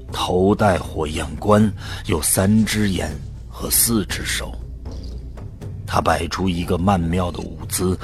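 A man narrating an audio drama in the first person, in a sombre, deliberate storytelling manner. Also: deep voice.

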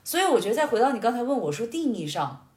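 A woman's voice: on the high side, sing-song, fast. A young woman chatting reflectively and articulately, laying out her thoughts in order as a podcast host. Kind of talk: reflective casual chat. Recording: echoey, roomy sound.

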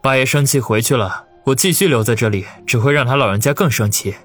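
A man's voice: mid-range voice, fast talker, fairly bright tone, faint music behind, voice pushed up, natural voice. A male narrator voicing an earnest young man who talks in a placating way.

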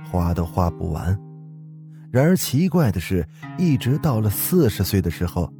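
A man's voice: low-pitched, warm tone. A man narrating a scripted horror story in a dramatic storytelling style. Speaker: storyteller, podcast host. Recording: music behind the voice. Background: background music.

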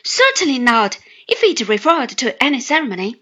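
A woman voicing an earnest, kind young gentleman in an audiobook, exclaiming with surprise. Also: sits high, sing-song, brisk, husky, exaggerated voice. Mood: glad.

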